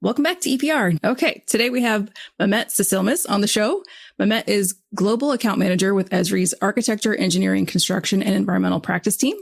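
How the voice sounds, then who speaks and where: A woman's voice: medium pitch, quick pace, creaky, husky. A woman co-hosting a podcast, asking questions and chatting in a friendly, upbeat way. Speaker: podcast co-host. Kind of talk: casual chat and interviewing.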